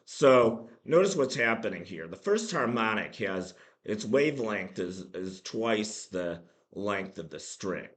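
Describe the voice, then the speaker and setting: A man with a hoarse voice from a cold, hosting a casual math lesson and explaining things with many ums, uhs and restarts. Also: lowish voice, sing-song, a little slow, few pauses, a bit bright. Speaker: math channel host.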